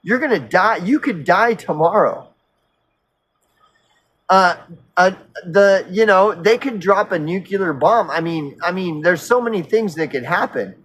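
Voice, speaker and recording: A man of fifty on an unscripted personal rant, earnest and insistent, halting and repeating himself as he circles back mid-sentence, casually profane. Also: high-pitched, lively intonation, fairly bright tone, slightly rough. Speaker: recovery vlogger.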